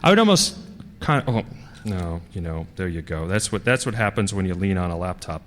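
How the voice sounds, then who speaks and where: A man giving an informal technical talk as a conference speaker, with a halting, rambling, conversational delivery. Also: sits low, big pitch swings, slight background noise. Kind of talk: informal technical presentation to an audience. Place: large conference hall, speaking to a live audience. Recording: reverberant hall sound.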